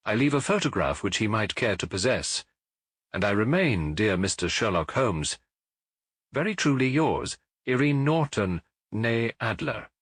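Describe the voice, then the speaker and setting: Man reading as composed, clever woman, husky. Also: on the low side, very animated, measured pace, a bit bright, dry recording, full character voice. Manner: composed, formal. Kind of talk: audiobook letter reading.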